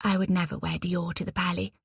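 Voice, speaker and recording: A woman's voice: on the low side, little pitch movement, fast talker, vocal fry, husky, no room sound, studio quality, exaggerated voice. A woman doing a refined young society lady. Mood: incredulous.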